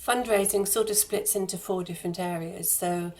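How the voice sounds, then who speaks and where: A woman giving explanatory interview answers as a charity guest, in halting phrases with repeated words. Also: lowish voice, fairly even intonation. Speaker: guest, charity head of fundraising and communications.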